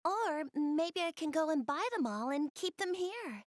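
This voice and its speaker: A woman playing a gentle, shy, bookish young girl in video game dialogue, soft-spoken and earnest. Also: sits high, lively intonation, measured pace, no echo, studio quality. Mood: eager, polite. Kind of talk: video game cutscene dialogue, addressing the listener.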